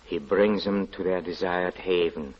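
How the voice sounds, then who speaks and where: An actor playing a strange, devout, weary lodger in a 1940s radio drama, halting and softly polite with a menace about sin. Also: male voice, deep voice, steady tone. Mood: weary, apologetic. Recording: dull 1940s radio recording.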